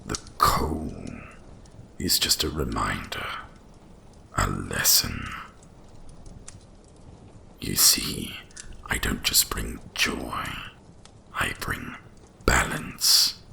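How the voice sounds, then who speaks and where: Cavernous, growling ancient dragon. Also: male voice, pushed and bright, exaggerated voice. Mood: solemn, gentle. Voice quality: deep gravelly breathy whisper. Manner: slow, solemn, deliberate delivery. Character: ancient wise dragon, guardian of winter and Christmas. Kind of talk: ASMR roleplay storytelling.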